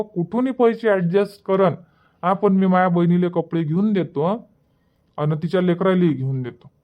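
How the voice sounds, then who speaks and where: Guy doing a high pitched cartoon husband, defensive and arguing, varhadi marathi accent, bit lo-fi. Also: natural voice. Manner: argumentative, reproachful. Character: defensive, indignant village husband. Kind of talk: colloquial cartoon comedy dialogue.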